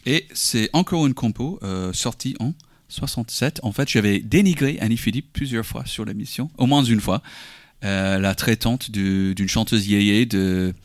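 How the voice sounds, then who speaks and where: A man's voice: fairly low pitch, no echo, studio quality. A man who is not a native French speaker, co-hosting a radio music show in a casual, hesitant way with many fillers. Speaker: radio co-host.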